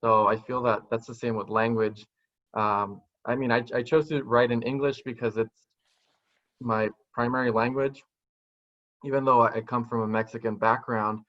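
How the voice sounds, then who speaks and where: A soft-spoken man in his thirties whose first language is Spanish, talking thoughtfully and restarting his sentences as he searches for words. His voice sits low and comes through a muffled, lo-fi video call line from a small room at home. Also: fairly even intonation, normal speed. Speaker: guest poet. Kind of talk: casual interview answers. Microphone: video call webcam. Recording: dull lo-fi video call audio.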